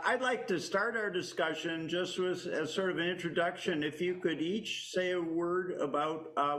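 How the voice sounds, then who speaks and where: A man moderating a virtual science panel over a video call, speaking in a measured way with frequent pauses and fillers. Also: on the high side, fairly bright tone, poor audio.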